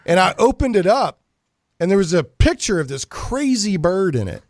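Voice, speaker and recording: Chatty male radio host, higher-pitched voice. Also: sing-song, normal speed, dry recording, clean studio sound. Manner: rambling, joking, mock-serious storytelling. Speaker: talk show host. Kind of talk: casual chatty radio banter.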